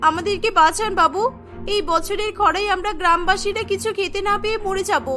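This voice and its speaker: Woman voicing a high, anxious village mother. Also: steady tone, brisk, sharp tone, soft background music. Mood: tearful pleading. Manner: pleading, anxious. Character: poor, worried village mother pleading for her hungry son.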